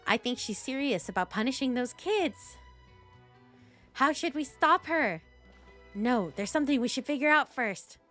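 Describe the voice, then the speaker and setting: A woman's voice: high voice, animated, measured pace, a bit bright. Playful woman streamer over faint music. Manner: playful, gushing. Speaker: game streamer. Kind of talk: casual live reaction commentary.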